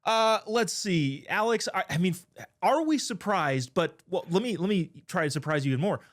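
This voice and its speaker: An American man hosting a political talk show in a casual, joking, bantering way. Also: high voice.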